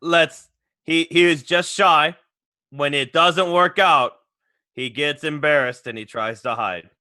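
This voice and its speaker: A man's voice: on the high side, takes it slowly, bright, forceful tone. A man playing a tabletop game, stammering and pushing to move on. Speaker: player. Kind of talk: casual tabletop play chat.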